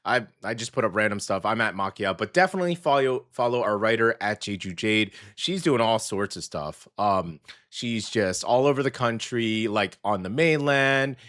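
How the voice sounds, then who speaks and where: Hoarse, gravelly radio host man. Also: lowish voice, moderate pace, fairly bright tone. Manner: upbeat and chatty, with many fillers. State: hoarse, scratchy after a weekend out. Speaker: radio morning show host. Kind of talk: casual radio chat.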